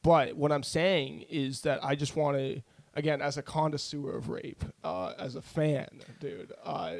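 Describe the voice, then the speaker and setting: A young man co-hosting a casual podcast, teasing his friend with deadpan, mock-earnest questions. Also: on the high side, measured pace, creaky. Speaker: podcast co-host.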